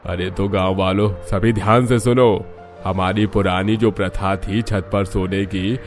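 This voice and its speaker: Cartoon village chief, male actor: lowish voice, declamatory, commanding, faint music underneath. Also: fairly even intonation, measured pace. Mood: authoritative. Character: village headman, authoritative and agreeable community leader. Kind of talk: cartoon moral story acting.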